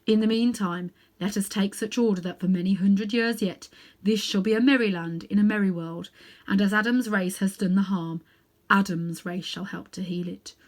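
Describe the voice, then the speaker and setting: Woman doing a grave kind lion, slow and commanding, storybook style. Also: mid-range voice, fairly even intonation, natural voice. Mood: solemn. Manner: solemn, measured, commanding.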